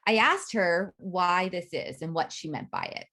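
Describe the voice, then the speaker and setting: A middle-aged woman gives an academic faculty talk in a measured way, reading prepared remarks with frequent ums. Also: lowish voice, sing-song, pushed and bright. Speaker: academic speaker.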